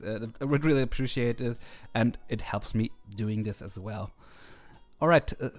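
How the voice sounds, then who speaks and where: A man hosting a video, talking casually with many fillers and restarts, his voice slightly hoarse. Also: mid-range voice, a little mellow. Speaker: video host ranking teams. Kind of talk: casual commentary. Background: faint background music.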